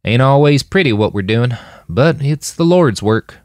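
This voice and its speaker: A man voicing a gruff, pious older Texan militia driver with folksy Southern speech in an audiobook. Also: mid-range voice, expressive, unhurried, a little mellow, no room sound, voice pushed up. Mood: polite, apologetic. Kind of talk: audiobook character voice.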